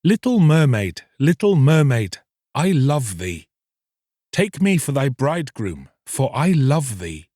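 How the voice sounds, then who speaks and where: A man's voice: medium pitch, very animated, slow, warm tone, no room sound, clean studio sound, pitched above the performer's own voice. A man voicing a lovestruck young fisherman in a storybook, pleading earnestly in impassioned, archaic speech. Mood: yearning.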